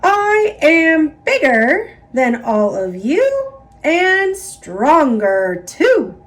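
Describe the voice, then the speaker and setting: A woman voicing a big, boastful female mummy in a children's storybook, bragging with drawn-out words. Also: sits high, wildly swooping pitch, pitched above the performer's own voice, full character voice.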